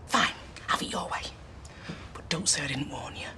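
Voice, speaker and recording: A woman's voice: sits low, animated, moderate pace, whispered. An actress playing a defiant, confrontational woman protester in a screen drama. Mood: defiant, threatening. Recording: noisy clip played back from afar.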